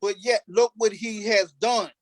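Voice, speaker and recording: A man's voice: sits high, fairly even intonation, a little slow, dry recording. Male stage actor, informal interview delivery, emphatic and repetitive for stress, dry recording with little room. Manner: emphatic, repeats phrases for stress. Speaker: stage play cast member, actor.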